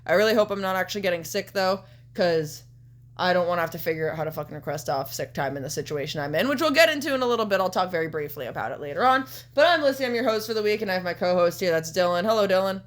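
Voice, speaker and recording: A woman's voice: lowish voice, lively intonation, rapid-fire. A young woman in her twenties hosting a casual podcast, a bit congested and sniffly, rambling with lots of ums and cheerful swearing. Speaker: podcast host.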